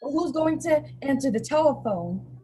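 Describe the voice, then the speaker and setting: A woman's voice: high-pitched, expressive, moderate pace. A woman playing an alarmed, impatient father in a radio play, urgent and demanding in manner. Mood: frightened, exasperated. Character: Mr. Morris, alarmed and impatient father of a young girl.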